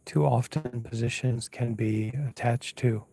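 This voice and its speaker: A man teaching as a monk leads an unscripted guided meditation in a hushed, soft, slightly husky voice, unhurried and pausing often as he gently gives instructions. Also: mid-range voice, fairly even intonation. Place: outdoors at night, lying down under a mosquito net.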